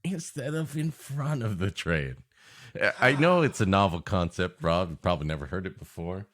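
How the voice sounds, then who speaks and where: A man with a fairly deep, very lively voice banters as a comedy podcast co-host. His pitch moves around a lot as he riffs on jokes, and he often laughs at what he has just said. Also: moderate pace. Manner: joking, riffing, often laughing at own jokes.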